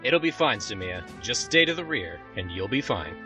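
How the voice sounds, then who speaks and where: A man playing a steady, good-natured young lord and commander in a fan audio drama. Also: lowish voice, moderate pace, over music. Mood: reassuring.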